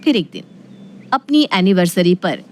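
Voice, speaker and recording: A woman's voice: fairly low pitch, very animated, normal speed, darkish tone. A woman narrating a scripted family story.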